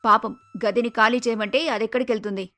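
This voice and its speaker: Woman playing a middle-aged mother, patient, gentle, cartoon family story, faint music underneath. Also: on the high side, steady tone, quick pace, pitched below the performer's own voice. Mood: concerned. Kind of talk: acted cartoon moral story.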